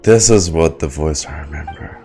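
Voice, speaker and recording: A man with a deep voice gives casual live reactions while streaming a game. He is slangy and exclamatory, with clear music playing behind him. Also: normal speed, dark tone. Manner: exclamatory, slangy, hums along.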